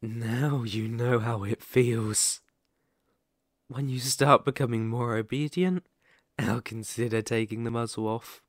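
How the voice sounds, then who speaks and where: A man voicing a sadistic, possessive werewolf captor in an ASMR roleplay, speaking in a deliberate, condescending, threatening way. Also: mid-range voice, fairly even intonation, no echo, studio quality. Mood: smug, controlling.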